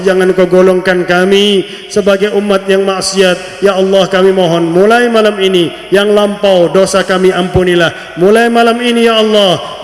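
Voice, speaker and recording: Male prayer leader, high voice, pleading and reverent, steady near-monotone intonation, echoing hall. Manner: pleading, reverent, drawn-out repeated invocations. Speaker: Islamic preacher leading congregational prayer. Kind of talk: supplication prayer, partly chanted. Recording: echoey hall with long reverb.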